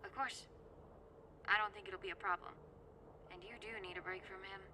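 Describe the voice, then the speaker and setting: Woman acting a calm helper lady in audio drama, medium pitch, noisy ambience behind. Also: fairly quick, lightly acted. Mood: quiet, reassuring.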